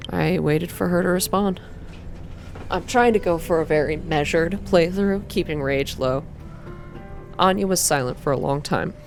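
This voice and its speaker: Low-voiced woman reading a story aloud. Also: normal speed, vocal fry. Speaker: ASMR reader and game player. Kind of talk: ASMR reading of a visual novel, scripted narration. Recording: music behind the voice. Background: background music.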